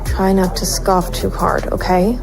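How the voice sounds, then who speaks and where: A woman's voice: medium pitch, level tone, a little slow, natural voice. An actress playing a weary, resolute female private investigator, narrating a noir audio drama in clipped, sardonic phrases. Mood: firm, hushed. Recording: music bed behind the voice.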